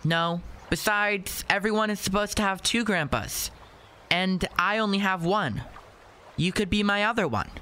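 Radio play kid: eager young boy, high, bright, blunt and proud. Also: a little slow, smooth. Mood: earnest, chatty.

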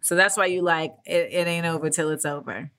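Woman interviewer, bright voice, casual and chatty, laughs a lot, swears. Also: sits low, steady tone, brisk. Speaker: interviewer, former gymnast.

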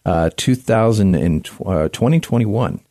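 A man's voice: fairly low pitch, expressive, measured pace, dark tone. A man hosting a talk radio interview, steering the conversation with leading questions. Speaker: radio talk show host.

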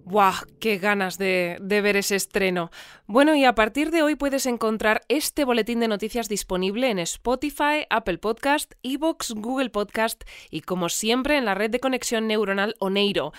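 A woman's voice: on the high side, normal speed, clear, resonant tone, no room sound, clean studio sound, close to an everyday voice. An actress playing an upbeat female news bulletin presenter, reading scripted announcements. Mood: friendly, promotional. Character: upbeat female newsletter presenter of a research community.

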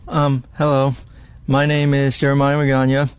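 A man's voice: mid-range voice, completely flat delivery, normal speed, clean tone. A nineteen-year-old man, a graduating student guest, introducing himself in a shy, formal way.

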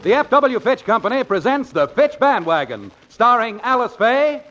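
A man's voice: very high-pitched, very animated, normal speed, over loud music. A man announcing a 1940s radio comedy show in a scripted presenter's style. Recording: muffled 1940s radio recording.

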